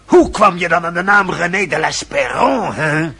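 An actor playing a hot-tempered French count who blusters and sputters theatrically in a period radio drama, with a gravelly, raspy voice. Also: male voice, high voice, animated, moderate pace, sharp tone, full character voice. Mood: furious. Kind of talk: radio drama acting in period costume piece.

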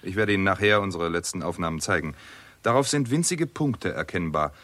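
Low-voiced, precise astronomer. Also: male voice, animated, a bit bright, no room sound. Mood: serious, exact. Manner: precise, measured, polite. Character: formal, courteous male astronomer reporting findings. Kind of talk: 1960s German radio play acting.

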